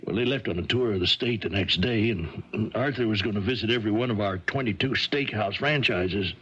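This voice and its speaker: A man's voice: fairly low pitch, brisk, a bit bright, a little hiss or hum, natural voice. An actor playing a worried but reasonable middle-aged father, explaining things hesitantly in a 1970s radio drama. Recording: dull lo-fi 1970s radio recording.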